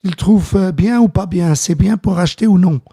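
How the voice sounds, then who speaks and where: Gravelly, mellow man. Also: high voice, no room sound, clean studio sound. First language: Dutch. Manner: halting, many fillers and restarts, greets chat viewers. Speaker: live-stream host. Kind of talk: casual live-stream chat. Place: home music studio.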